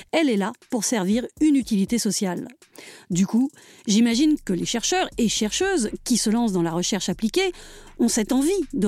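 Higher-voiced Parisian woman narrating. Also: darkish tone, no room sound. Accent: Parisian French. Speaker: podcast host and narrator. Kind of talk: scripted narration.

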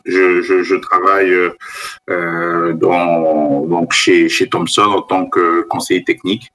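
Very deep-voiced hesitant man. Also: a bit bright, poor audio. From Benin. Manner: hesitant, many fillers and restarts. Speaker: guest, business school professor. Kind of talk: interview conversation.

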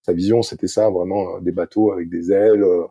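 A middle-aged French-speaking man, a sailing coach answering interview questions in a halting way, full of fillers and restarts. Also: sits low, steady tone, warm tone, husky, no echo, dull audio. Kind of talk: hesitant conversational interview answers.

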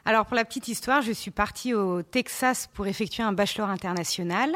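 A woman's voice: medium pitch, brisk, fairly bright tone. A woman from Savoie, a winery director, answering interview questions with frequent hesitant fillers. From Savoie, France. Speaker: guest, winery operations director. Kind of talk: radio interview.

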